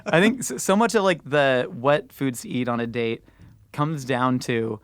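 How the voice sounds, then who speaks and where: A man in his thirties hosting a podcast, telling stories in a lively way with frequent laughs. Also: medium pitch, clean tone, no room sound. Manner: animated storyteller, laughs often, drawn-out words. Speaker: podcast host. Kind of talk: casual chat.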